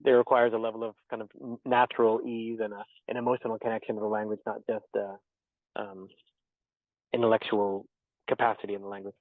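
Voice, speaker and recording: Man, guest on an interview, thoughtful and halting, tinny dry call audio. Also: fairly low pitch, level tone, no echo. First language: English. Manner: thoughtful, measured, with many fillers and restarts. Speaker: interviewed poet and theology teacher. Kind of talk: reflective interview answers. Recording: tinny dry phone-like line.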